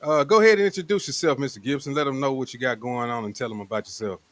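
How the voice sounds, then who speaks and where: A man's voice: medium pitch, very fast, a bit bright. A man with an American accent hosting a casual show, talking in a laid-back, slangy way. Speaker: show host. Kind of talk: casual online panel show talk.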